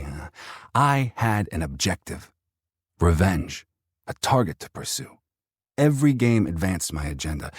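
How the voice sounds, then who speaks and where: A man's voice: sits low, husky, dry recording, studio quality. A man reading first-person fiction as an audiobook narrator, performing the text in character.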